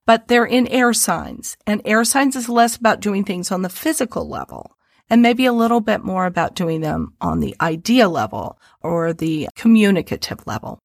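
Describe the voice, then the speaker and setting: A woman's voice: medium pitch, moderate pace, vocal fry, no echo. Woman, Midwestern American twang, podcast co-host, easygoing explainer, dry close-miked. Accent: Midwestern American, a little twangy. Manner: explanatory, relaxed, uses homely images. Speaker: astrologer co-host. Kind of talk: podcast astrology chat.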